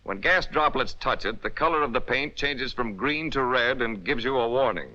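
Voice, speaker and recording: A man's voice: mid-range voice, animated, moderate pace, sharp tone. An American man narrating a 1940s military instructional film in an emphatic, instructional manner with clipped directives. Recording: dull, band-limited 1940s film soundtrack.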